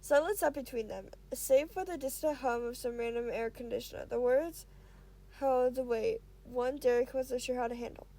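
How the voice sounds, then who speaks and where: A woman with a high, soft-edged mellow voice narrates a story aloud. Her reading is expressive but halting, with small stumbles and self-corrections. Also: poor audio. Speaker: amateur fan fiction reader.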